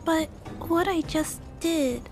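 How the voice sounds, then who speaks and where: Sweet earnest little girl from a game, high voice, bit of music behind. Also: slow, heavily acted. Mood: gentle, thoughtful. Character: gentle young girl who repairs robots. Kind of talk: video game voice acting.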